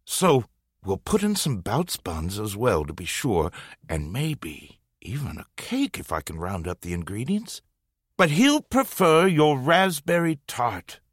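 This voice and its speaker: A woman doing a hearty male baker, low and very swooping, dry sound, storybook reading. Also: big pitch swings, a little slow, husky, no echo, exaggerated voice. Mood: pleased. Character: jovial middle-aged male master baker.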